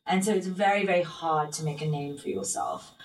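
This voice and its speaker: A woman guest talking thoughtfully in an interview, pausing with fillers and restarting her sentences. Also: low voice. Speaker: guest singer. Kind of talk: reflective interview talk. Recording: roomy and distant from the mic.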